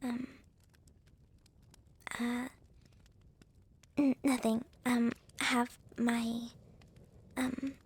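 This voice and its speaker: A woman playing a shy, gentle young vampire with a crush in a whispered ASMR roleplay, speaking softly and haltingly with a breathy voice. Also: a little high, flat delivery, full character voice. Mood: apologetic, awkward. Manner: hesitant, halting, soft-spoken.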